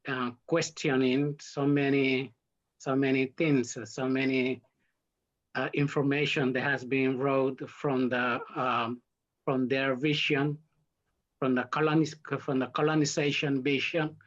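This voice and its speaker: Halting Honduran Garifuna man, slow pace. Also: medium pitch, a bit bright. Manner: halting, searching for words in non-native English. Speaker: interviewed historian and activist. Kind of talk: interview explanation. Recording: dull lo-fi sound.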